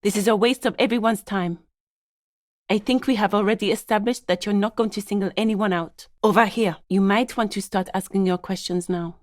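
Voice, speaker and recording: A stern, guarded female lawyer from a video game, acted by a woman. She speaks in a mid-pitched voice with a formal, clipped delivery and firm authority. The recording is close and dry, with no room around the words. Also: steady tone, a little fast, no room sound, close to an everyday voice. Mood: dry, exasperated. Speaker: video game character voice actor. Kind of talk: scripted game dialogue.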